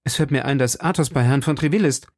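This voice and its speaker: A man's voice: on the low side, very animated, normal speed, vocal fry, darkish tone, slightly rough, no room sound, studio quality, exaggerated voice. A man voicing a bold, hot-headed young male swordsman, impulsive and commanding. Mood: urgent.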